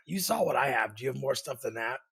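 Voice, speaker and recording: A man with a cold who sounds hoarse, co-hosting a casual gaming podcast and stumbling through halting restarts on a desk condenser mic. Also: medium pitch, lively intonation, fairly bright tone. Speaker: podcast co-host.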